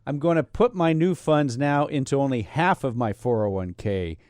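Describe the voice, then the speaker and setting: A man's voice: medium pitch, moderate pace, a little mellow, no echo, studio quality. A man co-hosting a casual finance chat, restating points and asking clarifying questions.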